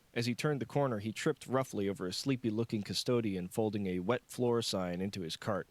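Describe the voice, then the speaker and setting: Male narrator, low voice, scripted novel reading, very dry close recording. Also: no echo. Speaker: audiobook narrator.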